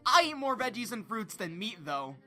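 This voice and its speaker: A hotheaded, scornful teenage boy voiced by a woman for an anime dub. The voice is fairly high and sharp, swinging in pitch as he mouths off bluntly. Faint music sits behind. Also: very animated, moderate pace, heavily acted. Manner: blunt, cocky. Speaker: voice actor in a fan dub.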